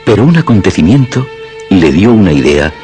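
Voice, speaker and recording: A man's voice: sits low, big pitch swings, moderate pace, dark tone. A man narrating a radio drama from a script in a dramatic style. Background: music.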